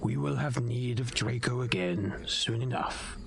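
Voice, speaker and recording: Man playing a gravelly, slow, scheming nobleman. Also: medium pitch, slight background noise. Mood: soothing. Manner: patronizing, unhurried, theatrical. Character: scheming, condescending nobleman, silky villain plotting a coup. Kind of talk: audio drama acting.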